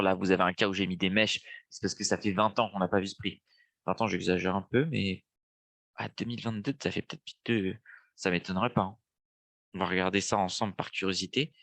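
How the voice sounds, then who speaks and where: A man's voice: low-pitched, gravelly, fairly bright tone, no echo. A young man with a stuffy nose from a cold, casually walking viewers through a live trading lesson with lots of fillers. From France. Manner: casual, thinking aloud with frequent fillers. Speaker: trading webinar host.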